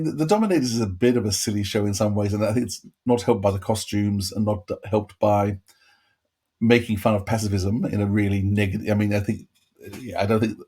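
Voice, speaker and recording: A man's voice: fairly low pitch, mellow, husky. A man co-hosting a podcast, giving casual reviews in a rambling way, often interrupting himself. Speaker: podcast co-host. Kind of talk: casual chat, reviewing audio dramas.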